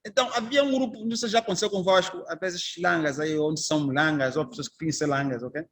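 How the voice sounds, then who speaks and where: High-voiced Angolan man teaching a class. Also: quick pace, a bit bright. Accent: Angolan Portuguese. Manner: conversational, anecdotal, asks the class rhetorical questions. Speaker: judge and trainer. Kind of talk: informal lecture to trainee magistrates.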